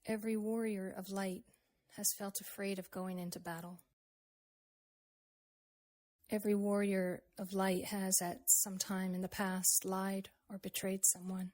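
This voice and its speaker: A woman leads a guided meditation in a gentle, unhurried manner, leaving long pauses between phrases. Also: mid-range voice, little pitch movement. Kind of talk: slow guided meditation, with a poem recited.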